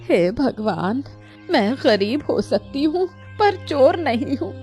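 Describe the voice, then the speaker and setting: A woman's voice: sits high, very animated, takes it slowly, a little mellow, faint music behind, heavily acted. A woman playing a sly, thieving old woman in a cartoon story, with a wheedling, complaining manner. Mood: wailing, indignant. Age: older.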